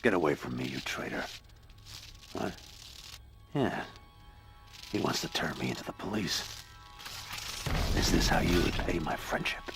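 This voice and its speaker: Casting: unhinged middle-aged scientist villain, theatrical, gravelly, wide-swinging delivery, game cutscene with music. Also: male voice, rumbling low, lively intonation, measured pace, pauses often, exaggerated voice. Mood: paranoid, angry. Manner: theatrical, brooding, talking to himself. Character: grandiose, unhinged scientist turned tentacled villain, grief-stricken and vengeful. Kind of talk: video game cutscene acting.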